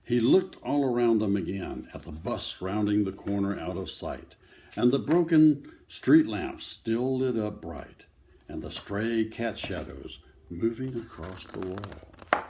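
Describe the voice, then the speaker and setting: Slow, mellow older man reading aloud. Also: fairly low pitch, lively intonation, a little hiss or hum, rough recording. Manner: explains the pictures simply, with conversational asides. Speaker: children's book reader. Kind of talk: read-aloud storytelling with informal asides to children.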